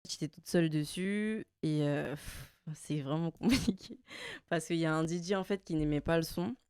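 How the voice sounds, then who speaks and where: Young woman, mellow smooth voice. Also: sits low, normal speed, dry recording, clean studio sound. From French Guiana. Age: 20s. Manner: relaxed, laughs often, trailing fillers. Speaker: guest singer. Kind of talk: casual interview chat.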